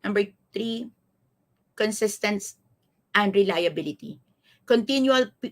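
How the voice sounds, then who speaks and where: Filipino woman in her fifties, coaching live. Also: mid-range voice. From Philippines. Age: 50s. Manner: repeats phrases, checks in often with listeners. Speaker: life coach, host of a live series. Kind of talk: motivational live-streamed coaching talk.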